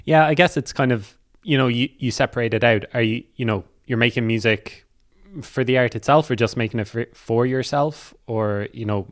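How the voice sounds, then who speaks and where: A man's voice: medium pitch, moderate pace. A man interviewing someone in an informal, friendly, conversational way. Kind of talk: casual interview chat.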